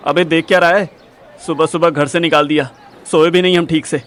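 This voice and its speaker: A man's voice: on the high side, steady tone, brisk, fairly bright tone, natural voice. An actor playing a cheeky, carefree young man in an audio drama, teasing and slangy. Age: young adult.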